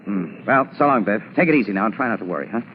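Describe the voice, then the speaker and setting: Man playing deep voiced streetwise newspaper photographer, easy slangy wisecracks, dull old radio sound. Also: animated, very fast, lightly acted. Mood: reassuring, thoughtful. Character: streetwise, steady male crime photographer, loyal to friends. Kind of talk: 1940s radio drama acting. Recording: muffled, noisy 1940s radio broadcast.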